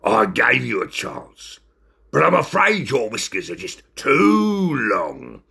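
A man voicing a gruff, stern bulldog shop owner in a storybook, growling and blustering. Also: mid-range voice, wildly swooping pitch, slow, sharp tone, pitched above the performer's own voice, exaggerated voice.

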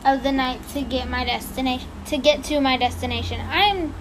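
A woman's voice: high voice, steady tone, fairly quick, noisy background. A woman co-hosting a casual chat who reads a story aloud, stumbling and apologizing and laughing at her slips. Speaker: podcast co-host reading a story.

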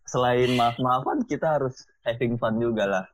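A man's voice: medium pitch, moderate pace, no echo. A young man in casual chat who talks in an easygoing, joking way.

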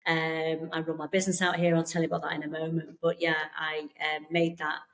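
British woman, low voice, therapist guest, chatty, many fillers, remote call. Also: little pitch movement, fairly quick. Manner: chatty, warm reassuring, frequent fillers and restarts. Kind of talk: casual interview chat.

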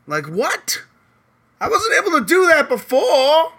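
A hoarse man hosting a podcast in loose, rambling casual chat, swearing now and then. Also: very high voice, wildly swooping pitch, unhurried, fairly bright tone. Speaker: podcast host.

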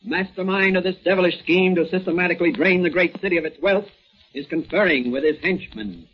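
A man's voice: high-pitched, a little fast, slight background noise. A man giving scripted narration in a dramatic, declamatory announcer style. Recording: muffled old radio recording with music.

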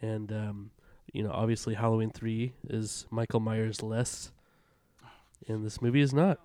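A man getting over a cold, his voice a bit raspy, rambling through a casual movie chat. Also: fairly low pitch, flat delivery, measured pace, warm tone, no echo. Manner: rambling, self-interrupting, jokey. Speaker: podcast co-host.

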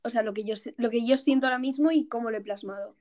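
A woman's voice: on the high side, fast, a little mellow. A young woman interviewing someone in a casual, conversational style.

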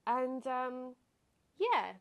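A young woman talks alone into a podcast mic, her voice pitched a little high and a bit nasal, as if stuffed up. She muses casually and reflectively and breaks into laughter easily. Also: very animated, extremely slow, a bit bright. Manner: chatty, reflective, laughs often. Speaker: podcast host. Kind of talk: casual solo podcast talk.

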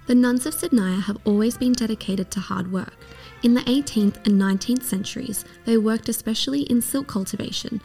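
A woman reading a scripted documentary narration in a measured, even way. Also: on the high side, creaky, soft background music.

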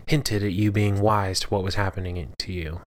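A man voicing an anguished, conflicted centuries-old demon with a quiet, deep, halting voice in an audio story. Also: little pitch movement, natural voice. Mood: guilty. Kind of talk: audio fiction dialogue.

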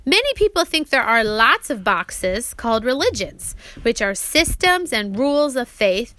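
A woman's voice: high voice, sharp tone, dry recording. A woman reading her own children's religious book aloud as its author, in a teacherly way, often stopping to put questions to her children.

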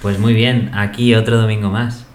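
A man's voice: on the low side, flat delivery, moderate pace, a little mellow, echoey room, slight background noise. A man hosting a podcast, friendly and easygoing, asking questions and summing up what his guest says. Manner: friendly, asks questions and sums up. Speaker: podcast host. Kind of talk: casual podcast interview.

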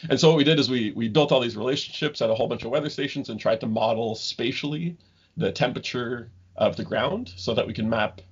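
A man in his thirties from Ontario, a guest scientist talking casually in an interview, halting with many ums and restarts. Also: mid-range voice, slightly rough. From Ontario, Canada. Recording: dull lo-fi remote call audio.

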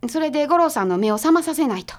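A woman playing a bossy, prickly detective's assistant in a radio drama, brisk and huffy, with a Kansai accent. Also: high-pitched, animated. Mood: irritated.

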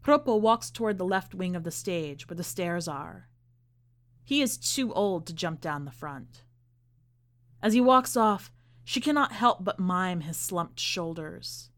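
A woman podcast host narrating and commenting on literary fiction in a measured, expressive manner. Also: lowish voice. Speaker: podcast host and story reader.

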